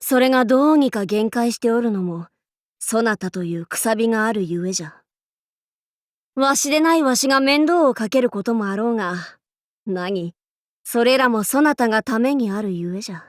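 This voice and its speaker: A woman's voice: fairly high pitch, lively intonation, normal speed, dry recording, clean studio sound, close to an everyday voice. A woman playing a proud, boisterous warlord in an anime game, with pompous, playful, archaic lordly speech. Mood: quiet, solemn. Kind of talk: anime game character voice lines.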